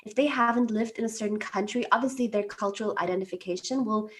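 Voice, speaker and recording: A woman's voice: mid-range voice, quick pace. A woman coach hosting a podcast interview, talking in a reflective, supportive way. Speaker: podcast host, expat coach. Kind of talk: interview conversation.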